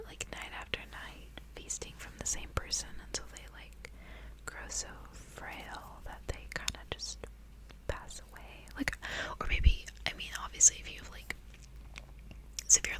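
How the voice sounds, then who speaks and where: A young woman whispering softly in ASMR style as she reads aloud, breathy and hushed, close to the microphone. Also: poor audio. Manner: soft, hushed, expressive. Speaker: ASMR reader. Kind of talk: whispered ASMR book reading with casual asides.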